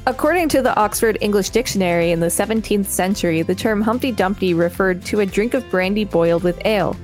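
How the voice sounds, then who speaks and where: A woman's voice: medium pitch, fast, darkish tone, faint music behind. A woman co-hosting a podcast, reading an encyclopedia article aloud with casual asides. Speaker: podcast co-host and reader.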